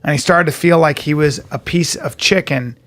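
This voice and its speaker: A man in his fifties, a podcast co-host telling stories in animated casual chat. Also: a little high, moderate pace. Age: 50s. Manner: animated storyteller, slips into voices.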